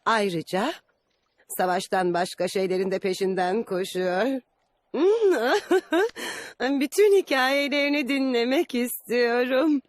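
A woman's voice: fairly high pitch, slow pace, no room sound, studio quality. A woman playing a curious, willful duchess in a dubbed cartoon, coaxing and imperious. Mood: intrigued, admiring. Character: curious, willful duchess eager for travellers' tales.

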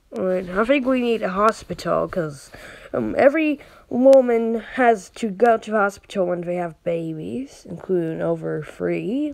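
High-voiced, unhurried woman commenting on gameplay. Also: gravelly, a little mellow, slight background noise. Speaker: gaming video host. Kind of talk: casual gameplay commentary, reading game text aloud.

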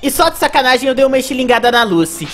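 A woman's voice: high voice, sing-song, very fast. A woman with a Brazilian accent narrating video game play as a reviewer, with music behind. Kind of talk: gameplay commentary narration.

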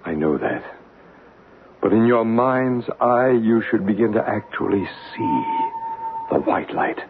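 A man playing a calm, authoritative psychic ghost hunter in a radio drama, probing and soothing in a measured way. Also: on the low side. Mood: soothing, commanding. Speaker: radio actor. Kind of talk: 1970s radio drama acting. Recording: muffled, noisy 1970s radio broadcast.

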